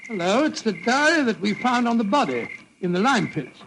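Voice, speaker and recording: An actor playing a loyal, blustery British gentleman, a detective's earnest sidekick, in an old-time radio drama. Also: high voice, very animated, normal speed, full character voice, low-quality recording. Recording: vintage radio recording, faint noise.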